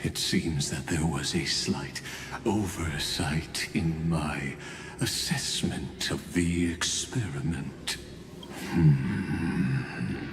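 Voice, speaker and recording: An actor playing a patient, calculating ancient dead man in a video game, speaking in a grave, measured manner. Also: very deep voice, full character voice. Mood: grudgingly intrigued.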